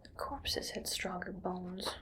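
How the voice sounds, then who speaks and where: A woman acts out a female mad scientist in a whispered ASMR medical roleplay. She speaks very slowly, murmuring clinical remarks half to herself. Her manner is cold and detached as she appraises her subject. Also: fairly low pitch, level tone, pushed and bright. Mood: detached, disappointed. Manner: slow, detached, muttering observations to herself.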